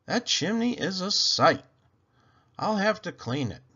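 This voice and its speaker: A man's voice: sits high, sing-song, unhurried, pushed and bright, husky, muffled recording, pitched above the performer's own voice, heavily acted. A man voicing a cheerful, literal-minded housekeeper woman in an earnest, exclaiming storybook delivery. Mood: surprised.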